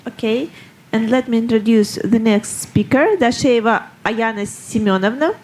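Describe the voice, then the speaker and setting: A woman's voice: a little high, moderate pace, darkish tone. A woman moderating a scientific session, formally introducing speakers and reading out titles.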